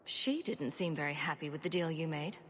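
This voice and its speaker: An actress playing a guarded young refugee mage in a video game, with a measured, questioning way of talking. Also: female voice, deep voice, big-room sound, slight background noise, close to an everyday voice. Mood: inquiring. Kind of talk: video game dialogue acting. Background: music.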